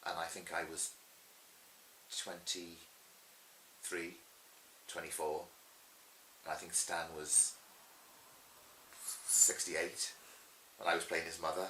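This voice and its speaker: A man's voice: low voice, little pitch movement, slow pace, long pauses, pushed and bright. A middle-aged man telling anecdotes in an interview as a pantomime performer, chatty, theatrical and emphatic. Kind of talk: animated anecdotal interview talk. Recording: roomy echo with faint hiss.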